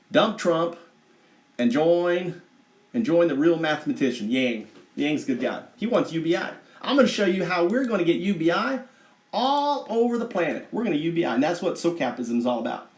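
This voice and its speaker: A man putting on an American Southern accent as a brash, folksy redneck livestream host who rambles, boasts and swears casually to his viewers. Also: high-pitched. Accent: put-on American Southern. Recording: roomy, echoing livestream audio.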